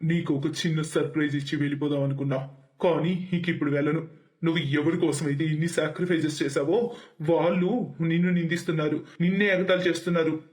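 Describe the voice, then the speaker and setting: A man's voice: a little high, natural voice. A man voicing a principled, devoted middle-aged boss in a story, speaking firmly and earnestly.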